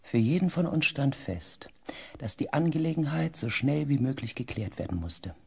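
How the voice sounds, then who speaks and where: An actor playing a grave expedition member who narrates in the first person, measured and sometimes hushed, in a sci-fi radio play. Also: male voice, mid-range voice, animated, creaky, slightly rough, exaggerated voice. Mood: grave, eerie. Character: grave male expedition member recounting an eerie mission.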